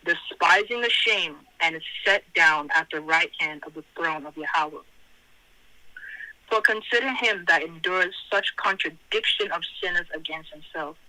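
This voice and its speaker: A man with a very bright, forceful voice, pitched fairly high and slightly hoarse, teaches scripture informally. He repeats his phrases and hesitates as he goes. The sound is that of a tinny conference phone line. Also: no room sound. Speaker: Bible study speaker. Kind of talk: informal scripture teaching, reading verses aloud.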